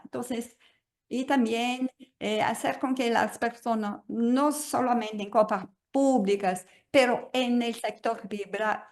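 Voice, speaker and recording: Woman, native Portuguese speaker, slow and hesitant webinar presenter on a video call. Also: on the high side. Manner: hesitant, many fillers and restarts. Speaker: guest speaker.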